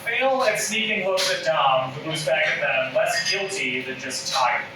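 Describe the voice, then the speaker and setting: A man's voice: fairly high pitch, animated, measured pace. A man reading scripted stage directions aloud at a live table read. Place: indoor room at a table read. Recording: very echoey, distant, loud background noise.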